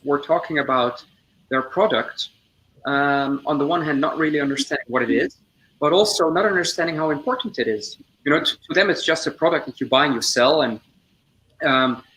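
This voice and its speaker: Man in his 40s from the Netherlands, bright, forward voice, earnest webinar guest on a video call. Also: on the high side, rough recording. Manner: earnest, explanatory, builds points step by step. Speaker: guest, social entrepreneur. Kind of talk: webinar storytelling and explanation.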